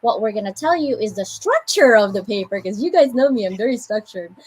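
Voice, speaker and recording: A woman from the Philippines with an American accent, co-hosting a casual chat and giving an animated motivational talk with joking asides. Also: fairly high pitch, a little fast, husky, rough recording.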